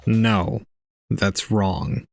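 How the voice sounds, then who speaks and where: A man playing a stoic, aloof young murder suspect in an audio drama, terse and deadpan. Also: lowish voice, drawn-out words, faint music behind. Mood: cold, calm.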